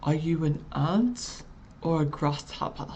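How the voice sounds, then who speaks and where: Woman co-host, very deep gravelly voice, relaxed and chatty, with a faint hiss behind. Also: slow. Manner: relaxed, chatty, answers viewers by username. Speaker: chat show co-host reading viewer chat. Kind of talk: casual live chat show.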